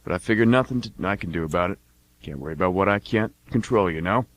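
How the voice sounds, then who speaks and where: A man's voice: deep voice, fast talker, close to an everyday voice, rough recording. A man playing a laid-back mercenary older brother in an amateur radio drama, with a casual, folksy delivery.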